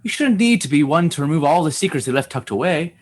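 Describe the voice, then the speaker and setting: Man playing a high, animated, fretful engineer. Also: fast. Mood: frustrated. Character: fretful, frustrated male space station chief engineer. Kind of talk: comedic radio theater acting.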